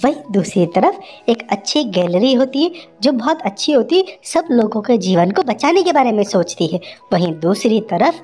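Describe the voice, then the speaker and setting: A woman's voice: fairly high pitch, very animated, normal speed, warm tone, soft background music. A woman narrating a children's moral story in a simple, conversational way.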